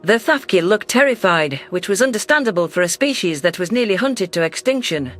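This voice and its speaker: A male narrator reads a science fiction story in a steady, scripted storytelling voice. His pitch sits fairly high, with faint background music underneath. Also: brisk. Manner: even storytelling delivery.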